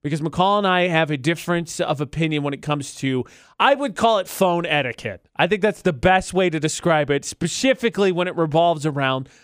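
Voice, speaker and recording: A man with a smooth, clear voice, pitched a little high, hosts a radio show. He talks in a casual, bantering debate style, recorded close and dry. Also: brisk, no room sound.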